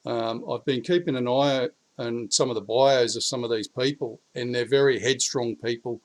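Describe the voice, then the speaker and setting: A blunt Australian man with a slightly croaky voice after the flu, talking straight to camera and swearing freely. His voice climbs and gets louder when he presses a point. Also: mid-range voice, steady tone, moderate pace, low-quality recording. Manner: blunt, swears, rising heat when urging. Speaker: prepping vlogger. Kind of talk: casual political talk to camera. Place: outdoors in his backyard.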